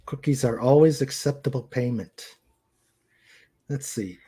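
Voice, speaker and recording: Slow, mellow man hosting a casual chat. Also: medium pitch. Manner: hesitant, rambling, repeats phrases. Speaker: show host. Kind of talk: casual live chat, reading viewer comments. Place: at home in a room with a couch.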